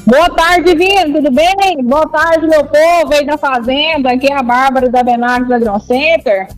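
A woman's voice: high voice, moderate pace, faint music behind, low-quality recording. A woman from Goiás with a Goiás accent, a store representative talking in a casual rural way as a radio guest. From Goiás, Brazil. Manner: rural colloquial speech.

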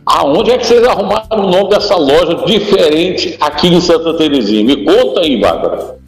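A man's voice: a little high, big pitch swings, moderate pace. A man hosting a live radio segment from a store, teasing his guest with playful questions. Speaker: radio host. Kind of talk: lively live radio interview. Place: store, on location. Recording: echoey radio broadcast with music.

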